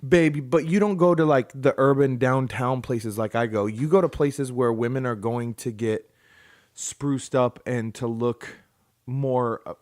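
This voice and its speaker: A man's voice: medium pitch, measured pace, darkish tone. Male podcast co-host, casual and teasing, mid-range voice, lots of restarts. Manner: joking, teasing, restarts a lot. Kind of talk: casual banter.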